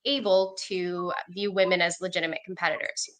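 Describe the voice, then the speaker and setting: A woman's voice: on the low side, little pitch movement, a little fast, a bit bright. A Canadian woman author on a video call, telling her story with energy and confidence. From Milton, Ontario, Canada. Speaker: author and jouster.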